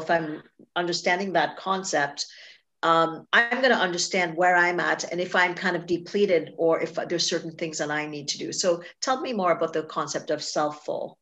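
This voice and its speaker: Female podcast host from Trinidad and Tobago, low-ish gravelly voice, chatty interviewer. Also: animated, normal speed, fairly bright tone. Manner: chatty, asks follow-up questions. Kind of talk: casual interview chat.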